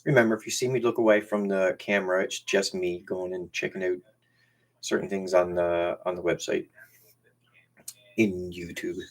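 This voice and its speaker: A man with a strong Newfoundland accent, hosting a casual live stream and chatting in a halting way, often repeating words and restarting. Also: low-pitched, flat delivery. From Newfoundland, Canada. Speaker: YouTube channel host. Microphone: camera used as webcam.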